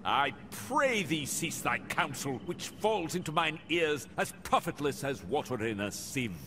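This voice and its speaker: A man's voice: on the high side, big pitch swings, a little slow, background noise. A man playing a pompous, menacing Elizabethan bard with florid, declamatory speech in a cartoon game. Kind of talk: mock-Shakespearean cartoon game acting.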